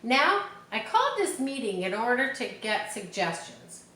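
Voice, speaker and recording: A clever, gently bossy spider calling a barnyard meeting, crisp and a bit exasperated, as a woman puts her on. Her bright, lively voice rings in a roomy space. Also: on the high side, a little slow, pitched above the performer's own voice, heavily acted. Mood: brisk.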